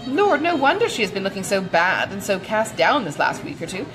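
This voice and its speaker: A woman's voice: mid-range voice, sing-song, a little fast, over music, natural voice, rough recording. A woman voicing a chatty, good-natured older matron in a storybook, gushing and rambling with many exclamations. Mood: flustered, concerned.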